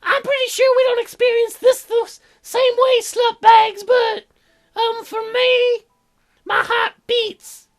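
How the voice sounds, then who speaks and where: A man voicing a dim, crude, excitable teenage girl who gushes and blurts in a childlike way for a game. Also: very high-pitched, slow, voice pushed up, heavily acted. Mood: gushing.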